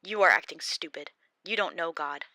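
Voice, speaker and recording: A woman reads Bildad, a blunt man who scolds his suffering friend with open contempt. The delivery is scornful and a touch raised. The voice comes through bright and dry, in a close reading. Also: on the low side, moderate pace, dry recording.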